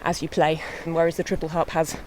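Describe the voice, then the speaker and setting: A woman's voice: sits low, quick pace, rough recording. Young woman chatting on an outdoor walk. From born in England, raised in mid Wales. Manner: relaxed, chatty, laughs lightly. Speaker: harpist and guest. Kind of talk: casual walking interview. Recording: faint outdoor noise.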